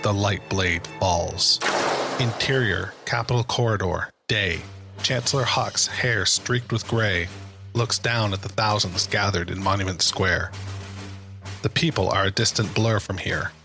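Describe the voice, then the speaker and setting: A man's voice: on the low side, unhurried, creaky, sharp tone. A man giving scripted dramatic narration as the narrator of an audio drama. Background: music.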